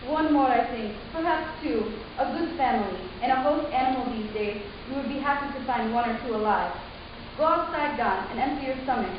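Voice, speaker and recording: A woman playing a calm, commanding alien matriarch in a dramatic reading, clinical and unsentimental. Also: high voice, brisk, dull audio, close to an everyday voice. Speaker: stage reader. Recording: echoey room from far off, hissy.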